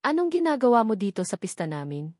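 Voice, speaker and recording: Warm, welcoming mother read by a narrator. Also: a little high, very animated, fast, dry recording, studio quality. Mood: cheerful greeting. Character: warm, hospitable provincial Filipino mother, welcoming and eager.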